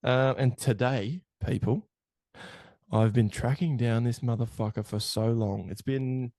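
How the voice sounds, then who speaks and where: A man's voice: mid-range voice, unhurried, mellow, no room sound. A man hosting a podcast, chatting loosely, rambling and swearing often. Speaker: podcast host. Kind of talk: casual podcast chat.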